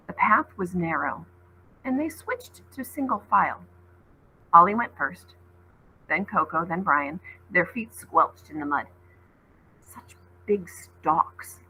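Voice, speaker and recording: A woman teacher reading a novel aloud to students, expressive, stopping for short explanatory asides. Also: medium pitch, slight background noise, low-quality recording. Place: school classroom.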